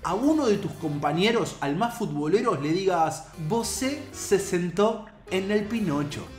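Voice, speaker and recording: A man with a Buenos Aires accent plays the prank-call host. His delivery is animated and teasing, leaping up and down in pitch. The room rings a little and light music runs underneath. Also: measured pace, echoey room. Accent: Buenos Aires Spanish. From Buenos Aires, Argentina. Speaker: prank caller, YouTube host. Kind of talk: playful prank phone call banter. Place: small home room.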